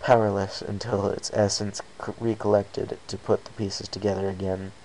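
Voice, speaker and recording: A man with a low, creaky voice reading a scripted first-person adventure story as narrator. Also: little pitch movement, normal speed, darkish tone, poor audio. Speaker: amateur story reader. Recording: faint background hiss.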